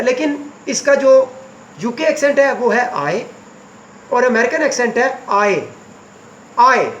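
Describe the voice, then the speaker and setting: A man teaching spoken English to beginners, going word by word and repeating each meaning slowly. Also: very high voice, a bit bright, echoey room, slight background noise, rough recording. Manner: slow, repetitive word-by-word explanation.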